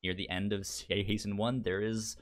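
A man's voice: fairly low pitch, little pitch movement, moderate pace. A man co-hosting a podcast in casual discussion, stuttering often as he repeats sounds and syllables. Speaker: podcast co-host.

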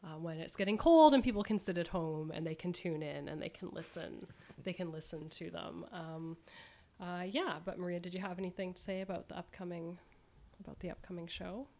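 Woman radio host, lowish voice, chatty but kinda halting with lots of ums. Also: mellow. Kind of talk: conversational interview hosting.

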